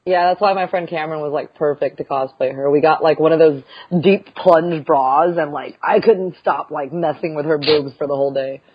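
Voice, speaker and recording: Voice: mid-range voice, normal speed, hardly any pauses, slightly rough, highs cut off. A podcast co-host chatting casually in a giggly way. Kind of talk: casual chat.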